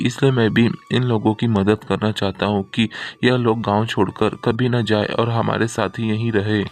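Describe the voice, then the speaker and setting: A man's voice: lowish voice, close to an everyday voice. A man playing a generous, good-hearted villager in a cartoon moral story, earnest and respectful.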